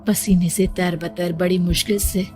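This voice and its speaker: A woman's voice: lowish voice, flat delivery, unhurried, mellow. A woman narrating a scripted horror story in the first person, dramatic, suspenseful and emotive. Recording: music behind the voice. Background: music.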